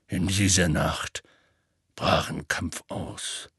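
A man voicing a big, rough, weary half-giant who tells his tale in a plainspoken, rambling, colloquial way, husky and gravelly, for an audiobook. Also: low-pitched, steady tone, drawn-out words, a bit bright, dry recording, studio quality, exaggerated voice. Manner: colloquial, rambling, matter-of-fact storytelling. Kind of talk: audiobook character dialogue.

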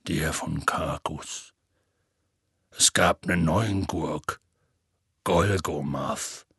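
Storybook half-giant, big and rough, very husky and close-dry, weary, homespun, matter-of-fact storyteller. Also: male voice, extremely low pitch, very slow, fairly bright tone, no echo, full character voice. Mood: grave. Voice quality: husky, gravelly. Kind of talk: audiobook character dialogue.

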